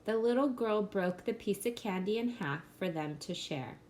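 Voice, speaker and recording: A woman reading a children's picture book aloud in a gentle storybook manner. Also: mid-range voice, lively intonation, moderate pace, slight background noise.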